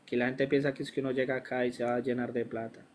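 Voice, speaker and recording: A young Colombian man with a Colombian accent, a guest in a casual interview, telling his story in an animated, slangy way that acts out the dialogue. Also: medium pitch, rapid-fire, a little hiss or hum.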